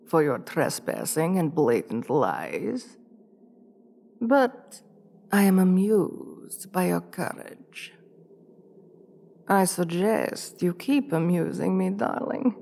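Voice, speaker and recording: A woman playing an imperious, seductive vampire queen in an ASMR roleplay, commanding and teasing with condescending, deliberate delivery. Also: lowish voice, gravelly, warm tone, full character voice. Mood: amused, threatening. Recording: long reverb with music behind.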